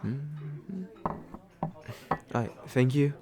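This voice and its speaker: Slow, hesitant man acting a nervous, kind date. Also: a little high, background noise. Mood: tender, unsure. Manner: hesitant, soft-hearted, self-deprecating, halting with small pauses. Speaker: ASMR roleplay voice actor. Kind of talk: ASMR comfort roleplay, acted as casual chat.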